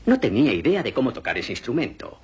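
An actor playing an exasperated, disdainful cello teacher in a film mock interview. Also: male voice, medium pitch, wildly swooping pitch, quick pace, husky, muffled recording, full character voice. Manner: exasperated, emphatic.

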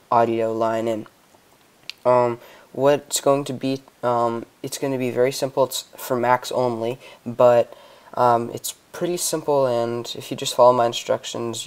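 Even-toned young man, clear voice. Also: mid-range voice. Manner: hesitant, improvised explaining. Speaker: tutorial host. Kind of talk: casual screen-recorded software tutorial. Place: indoors, voice-over on a computer screen recording.

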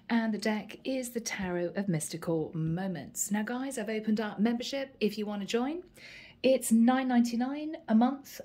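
A woman with a fairly high voice talks her viewers through a tarot spread, chatty and familiar. Her voice is a touch hoarse, as if worn from many readings. She is recorded close up at a table in a room at home. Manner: chatty, warm address to viewers, frequent "guys" and "okay". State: slightly hoarse, losing her voice. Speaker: tarot reader. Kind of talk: casual tarot reading to camera.

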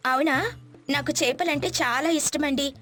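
A woman voicing an eager, delighted young city-bred wife in an animated moral story, with music behind her. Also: high-pitched, measured pace. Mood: delighted, curious.

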